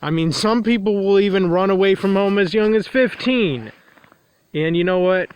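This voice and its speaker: A man with a nasal, stuffed-up voice, pitched a touch higher than most men, rambles through a confessional vlog monologue. He repeats himself often and restates the same point several ways. He is recording outdoors in the cold. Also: normal speed, smooth, no room sound, poor audio. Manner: repetitive, circling back to restate points. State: congested from a runny nose. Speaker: vlogger.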